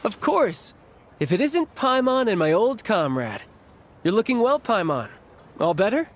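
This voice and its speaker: Breezy young big brother, fairly high-voiced. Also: male voice, big pitch swings, a little slow, background noise. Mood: cheerful. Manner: breezy, familiar. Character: cheerful, teasing young man, apologetic big brother. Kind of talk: animated game cutscene acting.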